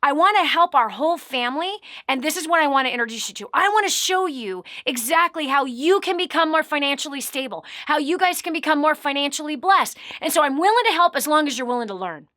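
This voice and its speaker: Woman host, high and bright, emphatic preachy coaching tone, dry close mic. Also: lively intonation, fast, hardly any pauses, no room sound. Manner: emphatic, preachy, swells louder on key words. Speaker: radio show host. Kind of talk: motivational coaching talk.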